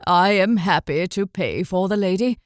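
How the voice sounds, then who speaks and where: A woman voicing a calm, principled male traveler in an audiobook, speaking slowly and politely. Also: fairly low pitch, no room sound, clean studio sound, lightly acted. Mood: courteous. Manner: slow, measured, courteous. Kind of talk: audiobook character voice.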